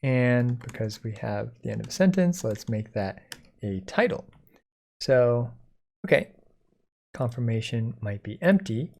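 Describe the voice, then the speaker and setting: A man giving a casual software code-along, thinking aloud informally as he types. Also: mid-range voice, expressive, unhurried, creaky, long pauses. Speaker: software tutorial instructor.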